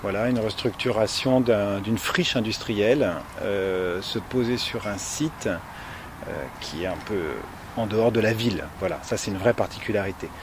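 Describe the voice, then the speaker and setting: A man's voice: on the low side, normal speed, noisy background. A man speaking spontaneously as an architect, explaining his project step by step.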